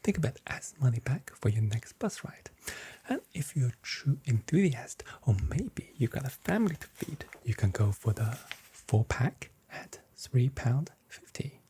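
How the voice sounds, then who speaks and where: A soft-spoken ASMR performer plays a grocery shop assistant in a hushed whisper. The voice is extremely breathy and dark, with very little clear tone. The delivery is gently playful sales patter, meant to relax. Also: medium pitch, sing-song, unhurried.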